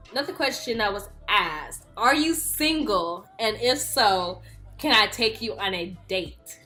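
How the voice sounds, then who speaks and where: Young woman in her twenties, chatty and playful, bright voice, faint music behind. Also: medium pitch. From Houston, Texas. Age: 20s. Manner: chatty, playful, laughs often. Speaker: YouTuber. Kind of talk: casual Q&A vlog.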